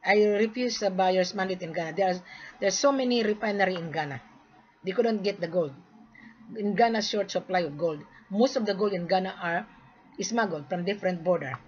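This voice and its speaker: A woman from the Philippines making a repetitive, insistent sales pitch, lecturing the listener in a direct-to-camera talk. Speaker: gold sales marketer. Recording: dull lo-fi recording.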